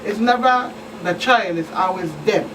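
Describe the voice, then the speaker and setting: A woman with a Virgin Islands Caribbean accent explains things emphatically as a police guest on a talk show, often repeating phrases. Her voice is mid-pitched. She sounds distant from the microphone, with clear room noise behind her. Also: unhurried. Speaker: police commander, guest expert. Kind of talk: talk show interview, recounting cases. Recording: distant mic, noisy room hum.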